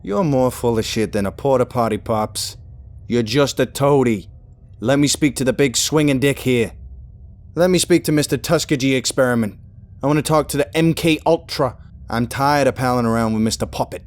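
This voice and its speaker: A man voicing a furious, foul-mouthed young male student who shouts insults. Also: medium pitch, moderate pace, faint music behind, pitched above the performer's own voice, natural voice.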